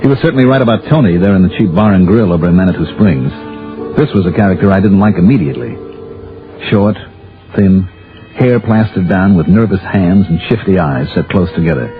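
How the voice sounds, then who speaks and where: A very muffled old radio recording with music behind. a hardboiled male investigator in a low voice, telling his case with cool, dry, sardonic wit. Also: lively intonation, fairly quick. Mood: wry narration. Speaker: narrator and lead detective. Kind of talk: 1950s radio detective narration and acting.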